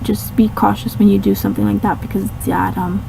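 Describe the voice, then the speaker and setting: A woman with a slightly hoarse, raspy voice casually narrating her craft video, rambling with many ums and restarts. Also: mid-range voice, level tone, a little fast, background noise. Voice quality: raspy, catching a cold. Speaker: scrapbooking channel host.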